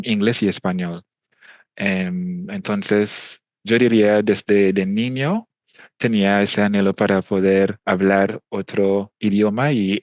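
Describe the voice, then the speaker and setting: Soft-spoken Trinidadian man, frequent pauses. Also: lowish voice, no echo, dull audio. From Trinidad and Tobago. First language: English. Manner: thoughtful, many hesitant fillers. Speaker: interview guest. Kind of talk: casual interview.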